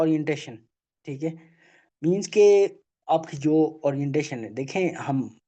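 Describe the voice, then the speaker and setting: Man giving a halting online health talk. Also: a little high, mellow. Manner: checks in with "okay?" after nearly every point; halting, with many filler phrases and restarts. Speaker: health awareness speaker. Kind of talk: online webinar lecture. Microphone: video call. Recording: dull lo-fi video call audio.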